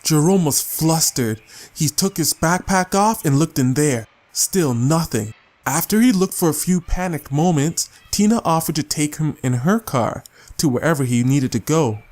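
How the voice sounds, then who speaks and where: A man's voice: on the high side, lively intonation, moderate pace, darkish tone. A man reading a scripted short story as a dramatic audiobook narrator. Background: music.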